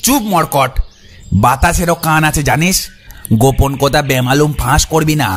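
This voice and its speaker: An actor playing a bossy, boastful, scheming gang leader in a comic cartoon, with a pompous, blustering, scolding manner. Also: male voice, a little high, normal speed, lightly acted. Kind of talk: exaggerated comic cartoon dialogue. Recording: music under the voice.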